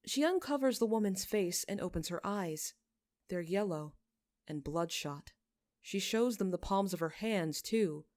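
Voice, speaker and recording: A woman narrating scripted fiction in a measured, solemn manner. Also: mid-range voice, expressive, darkish tone, no echo, studio quality. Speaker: podfic narrator.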